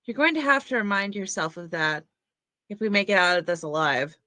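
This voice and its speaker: A woman's voice: medium pitch, animated, a little fast, bright, forceful tone, no echo. A woman playing a tense, defiant young woman fleeing a killer in a horror script table read. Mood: tense, resolute.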